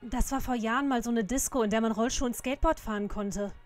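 Woman as a high-voiced cheeky teenage girl sleuth. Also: fast talker, soft background music, lightly acted. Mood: matter-of-fact. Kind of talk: audio drama parody acting.

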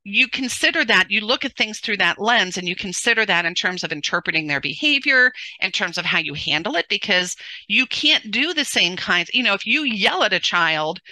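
A middle-aged woman speaking as a parenting coach in a relaxed interview, with a loud, forceful and very bright voice. She gestures animatedly and often restarts her sentences. She is on a headset from home, and the sound is close and dry. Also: medium pitch, moderate pace, no room sound, poor audio. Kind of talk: casual interview conversation. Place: home room, indoors. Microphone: headset on a video call.

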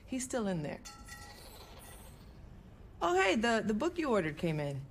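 A woman's voice: on the high side, sing-song, measured pace. A young American woman from a street racing game. She talks in casual, slangy speech as a loyal garage hand. There is some background noise around her voice. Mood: relaxed, friendly. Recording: background ambience noise under the voice.

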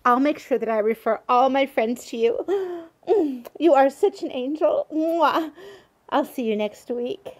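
A woman's voice: sits high, lively intonation, measured pace. A woman playing a gullible, effusive customer who trusts her psychic completely, in an audio drama. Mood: grateful, gushing. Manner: earnest, effusive.

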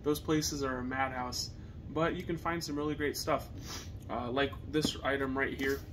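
A young man with an American accent chatting casually to camera as a reseller, with halting phrasing. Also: mid-range voice, steady tone. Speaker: reseller co-hosting a video channel. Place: partially finished basement, indoors. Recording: noisy basement room recording.

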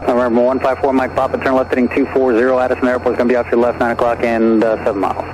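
A man's voice: medium pitch, little pitch movement, very fast, darkish tone, poor audio. A man working as an air traffic controller, giving procedural instructions over aviation radio.

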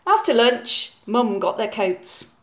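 Slow, animated woman reading a storybook. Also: on the high side. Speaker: storybook reader. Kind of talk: read-aloud children's story narration.

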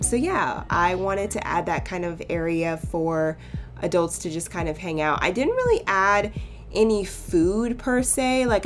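A young woman talks casually through a game build, wandering into animated little asides. Her voice sits a touch low and sounds a bit hoarse, cracking now and then. Faint music plays underneath. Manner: chatty, rambling, animated asides. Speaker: sims builder YouTuber. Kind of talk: casual voice-over commentary. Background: soft background music.

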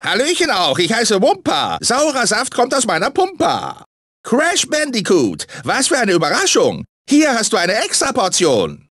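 A manic talking fruit mascot from a cartoon video game. The voice is very high and swoops all over, theatrical and grumpy under a sugary sales pitch. The sound is dry and close. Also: male voice, huge pitch swings, a little slow, fairly bright tone, no echo, exaggerated voice. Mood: cheery salesman. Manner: theatrical, swinging between sugary salesman and irritable grumbler. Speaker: video game character actor.

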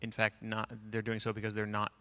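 A man's voice: fairly low pitch, completely flat delivery, a little fast, pushed and bright, clean tone. A man speaking hesitantly as a panelist in a large lecture hall, on a handheld mic, with many restarts. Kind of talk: panel discussion.

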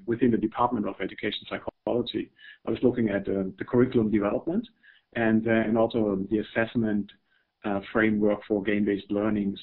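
A man's voice: fairly low pitch, slightly rough. A middle-aged man from Germany with a German accent, giving an academic webinar talk, hesitant, with many fillers and repeated words. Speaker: webinar presenter. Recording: phone-like webinar audio.

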